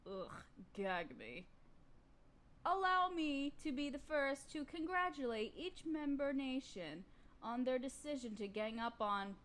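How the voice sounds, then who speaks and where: A woman playing a vain, domineering evil sorceress queen in a fantasy audio roleplay, speaking in an imperious, theatrical drawl. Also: high voice. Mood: grudging, irritated.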